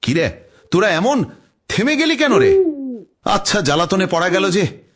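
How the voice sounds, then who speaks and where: A worried uncle on an ox cart in a ghost cartoon, raspy, a bit high, voice jumps all over. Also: male voice, very animated, moderate pace, a bit bright, exaggerated voice. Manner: exclamatory, fretful, warning. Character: uneasy middle-aged village cart driver, fatherly, cautious.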